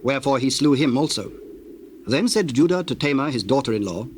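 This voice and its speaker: A man's voice: mid-range voice, expressive, brisk. A man narrating a dramatized scripture reading in a solemn, storytelling style. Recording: hissy old cassette tape, birdsong behind.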